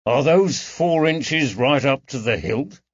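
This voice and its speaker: A man's voice: medium pitch, animated, slow pace, breathy edge, dry recording, lo-fi sound, pitched above the performer's own voice. A man voicing a burly, blunt and touchy constable in an audiobook, indignant and blustering. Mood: curious. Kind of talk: audiobook character dialogue.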